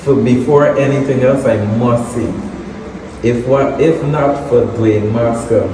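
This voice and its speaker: A young man with a Guyanese accent, talking about his music as an interview guest, relaxed and halting with many fillers. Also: medium pitch. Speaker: guest, soca artist. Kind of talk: casual interview. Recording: echoey room, distant mic, noisy.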